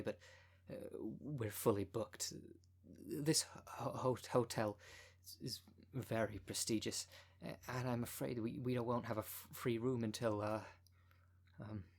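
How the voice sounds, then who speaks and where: A man's voice: mid-range voice, fairly even intonation, unhurried. A man playing a timid, flustered hotel receptionist in an audio roleplay, stammering and apologetic. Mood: nervous, apologetic.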